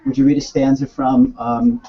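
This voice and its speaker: A man's voice: fairly high pitch, sing-song, moderate pace, gravelly, highs cut off. A man from Brooklyn hosting a school poetry stream, talking in a chatty, upbeat way with loose slang over a video call. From Brooklyn, New York. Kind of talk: casual chat.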